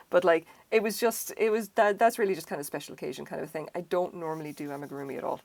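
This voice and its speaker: A woman's voice: lowish voice, fast, creaky, darkish tone. A middle-aged woman with an Irish accent, chatting casually and rambling while she crochets on a live stream. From Ireland. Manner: chatty, self-deprecating, rambling asides.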